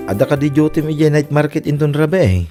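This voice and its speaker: A man's voice: a little high, expressive, fairly quick, music in the background, lightly acted. A man playing a concerned, caring older husband in a radio comedy-drama. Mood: worried.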